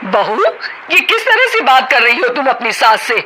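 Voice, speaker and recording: A stern, dismissive older mother-in-law , as a woman puts her on, scolding her daughter-in-law in a bright, high voice. Her pitch moves widely. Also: sing-song, normal speed, slight background noise, full character voice.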